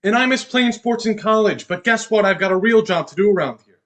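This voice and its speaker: A man's voice: high voice, fairly quick, close to an everyday voice. A young man acting in a stage play as a controlling, insecure husband who belittles his wife. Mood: dismissive, controlling. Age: young adult. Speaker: student actor.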